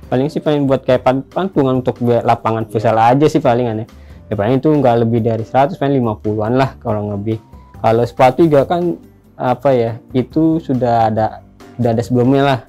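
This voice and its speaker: A man's voice: mid-range voice, a little fast, warm tone, soft background music. A man in his thirties answering interview questions in a hesitant, rambling way. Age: 30s. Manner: hesitant, rambling, many fillers.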